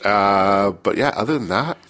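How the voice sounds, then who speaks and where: Picture a man with a very low voice, stuffed up and a bit sick. He rambles along in a relaxed, slangy way, full of fillers, chatting as a podcast co-host. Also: normal speed. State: congested, a little sick. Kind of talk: casual chat.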